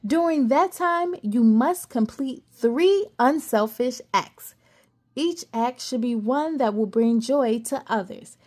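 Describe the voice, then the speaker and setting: A stern, regal fairy queen played by a woman reading aloud hands down judgment in a formal, commanding voice. The voice sits high and lingers over each word, swinging widely in pitch. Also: animated, takes it slowly, a little mellow.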